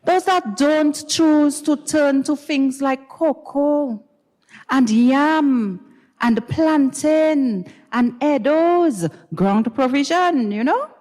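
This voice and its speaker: A woman's voice: sits high, extremely slow, a little mellow. A woman with a Grenadian accent narrating a dramatized reading like an oral storyteller, in a conversational manner. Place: large reverberant recital hall. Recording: reverberant recital hall.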